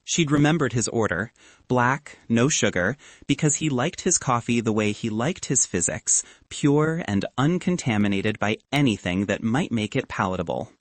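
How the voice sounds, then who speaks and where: Lively male fiction narrator, dry sound. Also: medium pitch, normal speed, no echo. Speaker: audiobook narrator.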